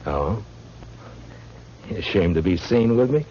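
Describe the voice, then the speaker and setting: An actor playing a grim, laconic frontier marshal in a 1950s radio western, speaking in a dry, deliberate way on an old recording. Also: male voice, lowish voice, animated, warm tone, highs cut off, a little hiss or hum. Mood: casual, probing.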